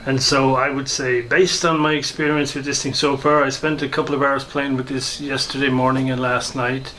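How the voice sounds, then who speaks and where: A man giving an informal, hesitant and unhurried demonstration of some hobby gear. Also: mid-range voice, flat delivery, music in the background, rough recording. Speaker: hobbyist video maker and shortwave listener.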